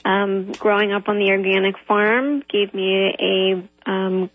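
Husky-voiced woman guest on a phone line. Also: medium pitch, steady tone, takes it slowly. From Southern California. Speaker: author and illustrator guest. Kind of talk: radio interview.

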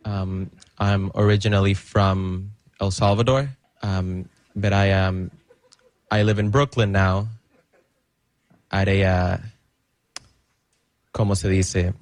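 A man performing a stand-up comedy bit, halting and hesitant, with many pauses and fillers. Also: low voice, little pitch movement, no echo.

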